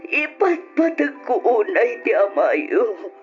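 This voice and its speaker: Slow, high-voiced grieving Ilocano mother. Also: very animated. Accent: Filipino, Ilocano. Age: middle-aged. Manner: slow, tearful, pleading delivery. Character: grieving Ilocano wife and mother, tender and devout. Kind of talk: old radio drama acting. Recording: muffled radio drama with music.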